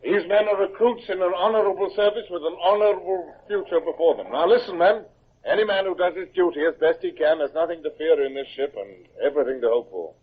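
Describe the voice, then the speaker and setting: An actor playing a stern but humane British naval captain in an old-time radio drama, with a commanding, authoritative manner. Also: male voice, high-pitched, fast, husky. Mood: commanding, firm. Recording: muffled, narrow old radio recording.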